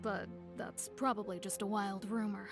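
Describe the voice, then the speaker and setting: A woman's voice: mid-range voice, little pitch movement, normal speed, close to an everyday voice. A woman voicing a courteous young guild receptionist in a video game, explaining things in a polite, composed way. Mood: informative. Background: game music.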